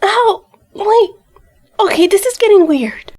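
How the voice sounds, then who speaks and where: Brief: a high-pitched young woman as a stubborn, defiant heroine. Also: expressive, slow, darkish tone. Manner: feisty and defiant. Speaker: lead character. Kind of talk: amateur audio drama.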